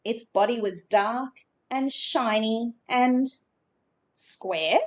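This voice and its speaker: A woman narrating a children's storybook expressively, in a measured way with dramatic emphasis. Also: on the high side. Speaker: storybook reader.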